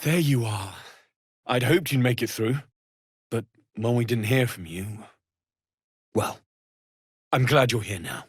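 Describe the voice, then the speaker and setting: Young tiefling smith in a video game, kind n earnest, gentle measured talk, mid pitched voice. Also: male voice, lively intonation, slightly rough. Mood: relieved, glad. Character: earnest, kindly young male tiefling blacksmith. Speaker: voice actor. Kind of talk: video game character dialogue.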